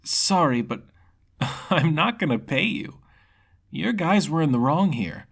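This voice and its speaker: A man's voice: on the high side, expressive, slow pace, slightly rough, pitched above the performer's own voice, full character voice. A man voicing a cheerful, dim, trusting young male wanderer in an easygoing, hesitant way for a fantasy story. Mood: carefree.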